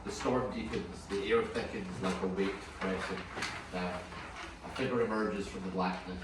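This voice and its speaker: Deep voiced guy reading script directions, far from the mic in a noisy echoey room. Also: fairly even intonation, moderate pace. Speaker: narrator reading stage directions. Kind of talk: scripted narration at a table read.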